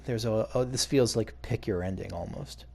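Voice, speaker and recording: A man's voice: lowish voice, moderate pace, gravelly, mellow, breathy edge. A man giving casual video game playthrough commentary, hesitantly thinking aloud as he goes. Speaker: gaming video host.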